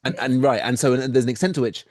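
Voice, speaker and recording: A man hosting a podcast in casual chat, halting and restarting often, wandering into playful tangents. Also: mid-range voice, steady tone, a little mellow, dry recording, studio quality. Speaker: podcast host.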